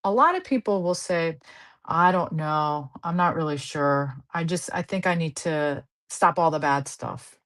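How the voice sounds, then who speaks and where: An earnest woman clinician explains how she counsels patients, her voice sitting a little low. She speaks in a conversational interview tone and slips into short acted-out exchanges with patients. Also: a little slow, a bit bright. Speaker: guest clinician. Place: Southern California.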